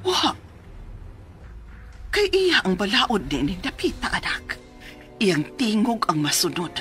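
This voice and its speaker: Radio drama mother: anxious, protective, pleading, forceful bright voice. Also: medium pitch, wildly swooping pitch, takes it slowly, soft background music, exaggerated voice. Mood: grim. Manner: emotional, pleading. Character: anxious, protective mother warning her grown son. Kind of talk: Philippine radio drama acting in Cebuano.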